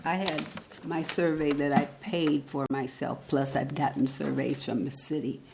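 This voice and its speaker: A woman in her eighties, speaking at a council meeting's public comment, halting and earnest as she searches for words. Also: sits low, steady tone, creaky, background noise. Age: 80s. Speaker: resident giving public comment. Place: council chamber podium.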